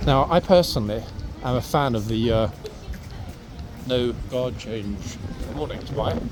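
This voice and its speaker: A man's voice: mid-range voice, unhurried, music in the background. A man giving casual, chatty walking commentary to viewers as a city walk host, recorded outdoors on the street. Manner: chatty, addressing viewers directly. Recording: clear street traffic noise outdoors. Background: traffic and urban outdoor ambience.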